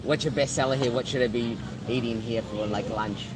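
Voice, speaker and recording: A man's voice: medium pitch, fairly even intonation, fairly quick. A man chatting casually on a live stream from a beachside restaurant, often repeating words as he restarts his sentences. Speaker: travel vlogger. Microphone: phone live-streaming. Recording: outdoor traffic noise. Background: street and vehicle noise.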